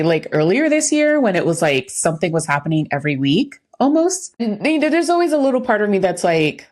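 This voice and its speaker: A woman's voice: lowish voice, darkish tone. A woman co-hosting a podcast, talking in a casual, conversational way with informal asides. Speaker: podcast co-host, coach.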